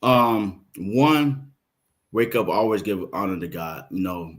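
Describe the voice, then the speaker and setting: A man in his fifties from Florida with a Southern accent, a guest in a casual interview who tells lively stories and acts out quoted voices. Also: fairly low pitch, slow. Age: 50s. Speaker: podcast guest, playwright.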